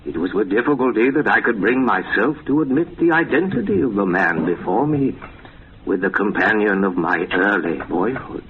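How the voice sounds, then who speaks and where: An actor voicing a gloomy Gothic horror narrator in a theatrical, old-film manner, giving a dramatic literary reading. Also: male voice, on the low side, animated, moderate pace, natural voice. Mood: ominous. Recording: muffled, noisy tape playback.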